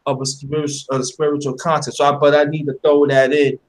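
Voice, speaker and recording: Host, man, 50s, high voice, earnest, repeats openers. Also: flat delivery, fast. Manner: earnest, repeats words when starting sentences. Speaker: channel host interviewing a guest. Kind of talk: casual interview chat.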